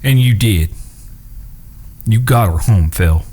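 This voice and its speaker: An actor playing a loyal, hot-tempered outlaw brother in a western audio drama, blunt and forceful. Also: male voice, fairly low pitch, animated, slow pace, breathy edge, full character voice. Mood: steady, reassuring.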